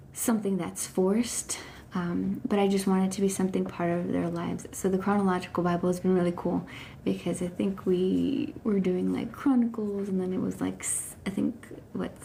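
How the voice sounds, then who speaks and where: Woman in her 30s, casual faith livestreamer, gravelly mellow voice, faint hiss behind. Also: mid-range voice. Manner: chatty, many fillers and restarts. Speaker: Bible study livestreamer. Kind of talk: casual Bible reading chat. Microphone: phone.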